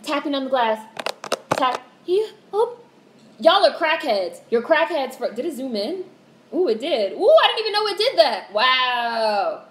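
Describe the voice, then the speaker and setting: Monotone young woman chatting with livestream viewers. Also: sits high, measured pace. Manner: candid, swears casually, reacts to chat. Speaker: livestream host. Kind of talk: casual livestream chat reading comments. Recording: roomy echo with faint background hiss.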